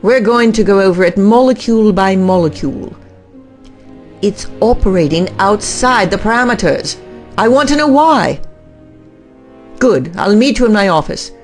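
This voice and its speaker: A woman's voice: mid-range voice, lively intonation, normal speed, darkish tone, faint music behind, close to an everyday voice. A woman playing an imperious, impatient boss with a commanding, clipped manner in an audio drama. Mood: urgent, businesslike.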